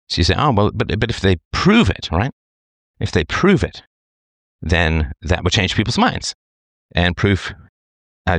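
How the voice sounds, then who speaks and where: A man's voice: low voice, a bit bright, no room sound. A middle-aged man, hosting a talk show and commenting at length in a conversational monologue, often checking in with "right?". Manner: conversational, tag questions like "right?". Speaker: host reading a listener's letter.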